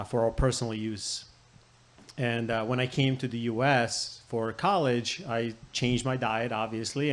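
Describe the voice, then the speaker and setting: A man from Croatia, a patient guest on a health panel, telling his story briskly and matter-of-factly. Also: medium pitch.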